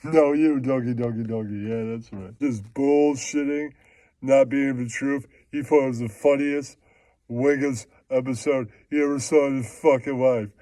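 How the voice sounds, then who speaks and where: A man's voice: medium pitch, fairly even intonation, normal speed, mellow, dry recording, lightly acted. A man voicing a slangy, boastful, foul-mouthed puppet who repeats catchphrases in comic puppet show banter. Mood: boastful, joking.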